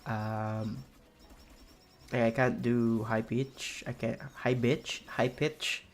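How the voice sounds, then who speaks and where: A young man with a raspy voice, streaming a game and rambling casually with many fillers and restarts. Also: medium pitch, level tone, slow pace. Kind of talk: casual chat while playing a game.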